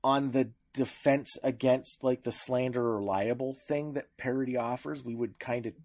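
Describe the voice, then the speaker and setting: A man's voice: mid-range voice, fairly even intonation, a little mellow, dry recording. Canadian man talking in stops and starts, dry sound. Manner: halting, with repeated words and restarts. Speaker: podcast co-host. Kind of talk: casual discussion of media law.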